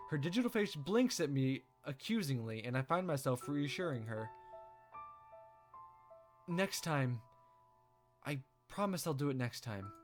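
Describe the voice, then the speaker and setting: Guy reading a visual novel out loud, highish voice, very animated, quiet music behind. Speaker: let's play host reading visual novel. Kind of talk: expressive read-aloud narration.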